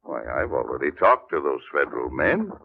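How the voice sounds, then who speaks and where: An actor playing an aging, tired and wily crime boss with a gravelly, husky voice, emphatic in an old radio drama style. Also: male voice, extremely low pitch, very animated, normal speed, exaggerated voice. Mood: insistent, weary. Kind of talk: 1940s-50s radio acting. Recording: muffled, narrow-band old radio recording.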